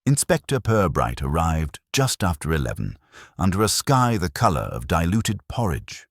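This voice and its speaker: A man reads a mystery story with measured wryness. His voice is extremely low and somewhat raspy, yet his intonation moves in an animated way. The sound is dry and close. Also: no room sound. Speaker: narrator.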